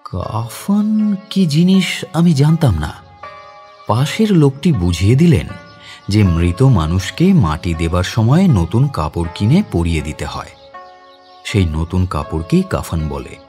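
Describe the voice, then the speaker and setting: A mild, educated man around forty telling a literary story from memory. His voice is dark and relaxed on the low side, yet it moves expressively as he reflects. Soft music plays behind him. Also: measured pace. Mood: reflective. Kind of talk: dramatised literary story narration.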